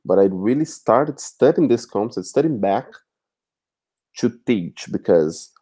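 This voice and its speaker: Low, mellow-voiced Brazilian man. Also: lively intonation, unhurried, husky. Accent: Brazilian Portuguese. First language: Portuguese. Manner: searching for words, frequent restarts. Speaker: guest, language teacher. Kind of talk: casual live chat.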